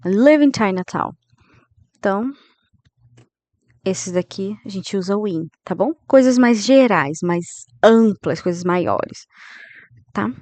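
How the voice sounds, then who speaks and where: Brazilian teacher lady, 30s, slow and patient, dry close mic, keeps checking in with the class. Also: mid-range voice, lively intonation, a little mellow, no room sound. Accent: Brazilian Portuguese. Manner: didactic, checks in with listeners often; slows down to model English phrases. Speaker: English teacher. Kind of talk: grammar lesson.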